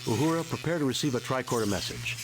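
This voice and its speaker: A man's voice: mid-range voice, lively intonation, fast, lightly acted, rough recording. A man playing a decisive starship captain in a sci-fi video game, giving clipped, authoritative orders. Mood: commanding.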